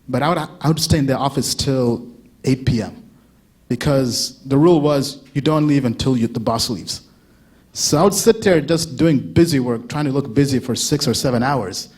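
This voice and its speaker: A man's voice: mid-range voice, a little mellow. A man from Uganda in his thirties giving a casual conference talk, chatting with the audience, asking them questions and laughing often. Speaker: conference speaker.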